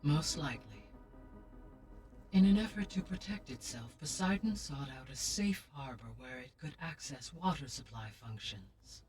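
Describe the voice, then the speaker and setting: A woman voicing a calm, formal female AI guardian in a video game, speaking in a measured, precise way. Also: low voice. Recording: distant game audio with music.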